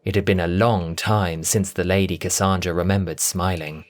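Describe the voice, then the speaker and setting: A narrator reading a scripted story in an expressive, theatrical, dramatic audiobook style. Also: low voice, normal speed.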